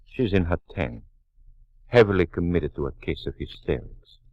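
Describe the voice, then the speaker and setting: An actor playing a dry, sardonic police detective lieutenant in a 1940s radio drama, insinuating and deliberate. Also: male voice, low voice, animated, dry recording. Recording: dull 1940s radio recording.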